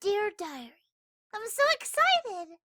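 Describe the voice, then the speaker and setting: A woman voicing an excited, innocent little girl gushing over her diary in a story reading. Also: very high voice, takes it slowly, no room sound, clean studio sound, full character voice. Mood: thrilled. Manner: gushing, breathless enthusiasm.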